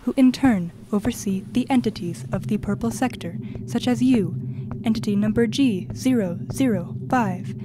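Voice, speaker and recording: Woman voicing a calm, high-pitched guide system. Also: normal speed, background noise, lightly acted. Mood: neutral, helpful. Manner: formal, even, informative. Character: calm, helpful, neutral artificial guide assisting an agent. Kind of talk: audio drama announcing and briefing. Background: music.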